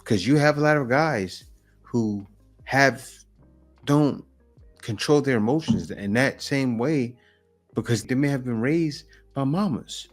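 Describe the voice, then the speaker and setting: Reaction host, male, mid-pitched. Blunt and opinionated, speaks straight back at the clip. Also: a little slow, soft background music. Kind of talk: casual commentary.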